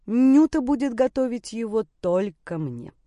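A woman voicing a confident, commanding man in an audiobook, speaking in a calm, sardonic, curt manner. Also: on the high side, a little slow, no echo. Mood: teasing.

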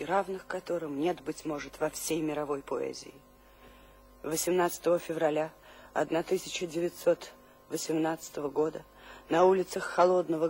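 Devoted wife of a poet, husky voice, solemn measured recollection, radio play, music behind. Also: female voice, sits low, a little mellow.

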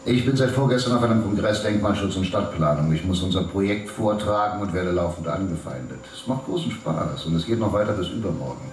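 A man's voice: sits low, brisk, hardly any pauses, lightly acted. An actor playing a friendly, self-assured middle-aged man speaking from a video screen in a German radio drama. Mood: breezy, amused. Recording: roomy, distant monitor sound with noise.

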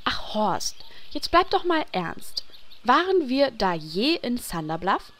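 A woman plays the leader of a hero troupe in a comic audio drama. Her voice is fairly high and very animated, cheerful and persuasive with a bossy edge. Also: slow pace, exaggerated voice. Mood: reassuring. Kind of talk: parody audio drama acting.

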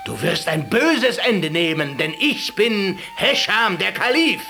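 A man telling a tale puts on a furious, imperious caliph who barks his orders in a raised, strained voice. The voice climbs well above the teller's usual pitch and gets loud and bright. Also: big pitch swings, slow, gravelly, soft background music, heavily acted. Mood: imperious anger. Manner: commanding, scornful shouting. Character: wrathful proud male caliph.

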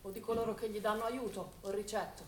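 A woman's voice: mid-range voice, level tone, fast. A woman, a stage actor, giving dramatic scripted narration in a theatrical storytelling manner. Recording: echoey theatre recording with clear noise.

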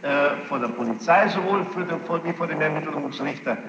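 A man formally questioning a witness as a judge, probing and insistent, with halting phrasing and a gravelly voice. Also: on the high side. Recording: noisy, muffled archival tape, echoey hall.

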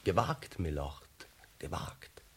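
An actor playing a sly, obliging manservant with a deferential, instructing manner in a radio drama. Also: male voice, a bass voice, animated, slow pace, a bit bright, husky, full character voice. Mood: deferential, dry. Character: obliging, sly manservant posing as sorcerer's interpreter.

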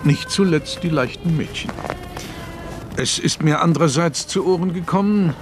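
An actor playing a genial, worldly older London lawyer with an urbane, measured, wry manner in a radio play. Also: male voice, on the high side, music in the background, lightly acted. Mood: wry, avuncular. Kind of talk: German radio play dialogue.

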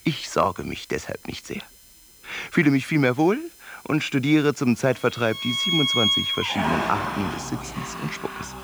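An actor playing a whimsical, smug fantasist on the run, declaiming theatrically in a radio play. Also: male voice, mid-range voice, wildly swooping pitch, moderate pace, a little hiss or hum. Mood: smug, musing. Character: whimsical, smug fugitive fantasist man, oddly carefree. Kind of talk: theatrical avant-garde radio play monologue. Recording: loud music behind the voice.

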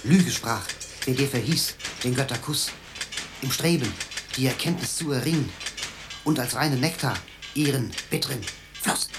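A man's voice: mid-range voice, normal speed, long pauses, background noise, lightly acted. A man voicing a rhyming poetry computer that declaims pompous verse in a German radio drama. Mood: solemn declamation.

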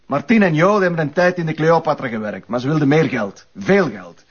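A man's voice: a little high, fairly quick, slightly rough. A man acting as a surly, evasive, foul-mouthed Flemish café owner who is being interrogated in a crime drama. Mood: angry bluster. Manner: defensive, coarse, blustering. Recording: dull, muffled audio.

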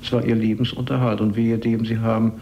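A man recalling and speculating in an interview, halting and correcting himself as he goes. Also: fairly low pitch, level tone, vocal fry, highs cut off, a little hiss or hum.

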